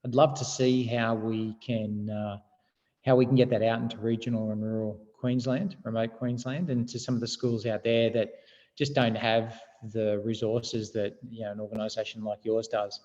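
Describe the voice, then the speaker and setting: A man's voice: lowish voice, level tone, moderate pace. A man hosting a video call discussion, encouraging others and asking for their ideas. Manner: warm, encouraging, inviting ideas. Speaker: host. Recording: lo-fi video call audio with reverb.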